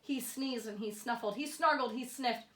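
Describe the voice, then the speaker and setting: A woman's voice: on the high side, normal speed, a bit bright, roomy sound. A woman reading a rhyming children's storybook aloud, expressively and with dramatic emphasis. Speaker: storybook reader.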